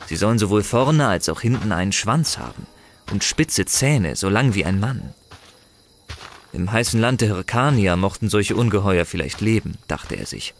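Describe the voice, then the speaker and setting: A man's voice: on the low side, lively intonation, normal speed, slight background noise. A man narrating a fantasy audio drama in a dramatic, scripted storytelling manner.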